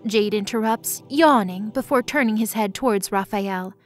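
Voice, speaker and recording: A woman's voice: medium pitch, moderate pace. A woman narrating a scripted dramatic story.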